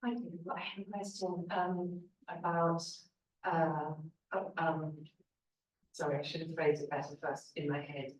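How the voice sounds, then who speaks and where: A woman's voice: deep voice, level tone, a little slow. A British woman asking a question from the audience, hesitant and searching for her words. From Britain. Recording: echoey hall, distant voice.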